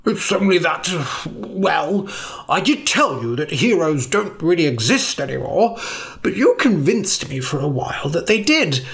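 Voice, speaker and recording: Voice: mid-range voice, big pitch swings, a little slow, creaky. A storyteller voicing a grumpy, blunt hoofed creature who grumbles and sneers.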